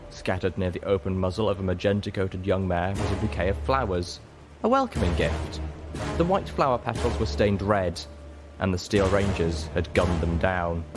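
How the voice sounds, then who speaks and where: A man reading a dramatic story as an audiobook narrator, measured, solemn and emotive. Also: sits low. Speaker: audiobook reader.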